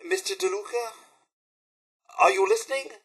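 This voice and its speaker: A man's voice: high voice, very animated, moderate pace, fairly bright tone, slightly rough, heavily acted. A man playing a polite, fussy, loyal robot butler in a video game, with a British accent and formal, deferential manners. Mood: sternly proper. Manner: formal, deferential, fussily courteous. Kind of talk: video game character voice lines.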